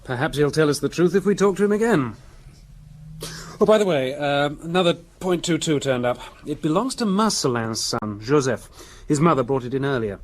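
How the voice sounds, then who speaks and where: An actor playing a helpful, brisk police colleague who reports deferentially to his superior in a radio drama. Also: fairly high pitch, lively intonation, slight background noise, natural voice. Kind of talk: naturalistic radio drama acting.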